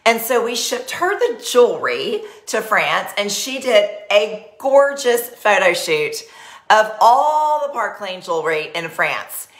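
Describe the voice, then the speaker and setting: A woman's voice: mid-range voice, big pitch swings, slow, roomy sound. Bubbly Texan woman hosting a live party. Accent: Texas. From Texas. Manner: bubbly, chatty, talks with her hands. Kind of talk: live-streamed sales party. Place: small decorated home office. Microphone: webcam at desk.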